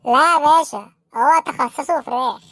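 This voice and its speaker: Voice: sits high, moderate pace, fairly bright tone, dry recording, clean studio sound. A performer voicing a naive young street hustler in an Egyptian comedy cartoon, earnest, deferential and matter-of-fact, with an Egyptian accent. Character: naive young street hustler, respectful petty-crime suspect.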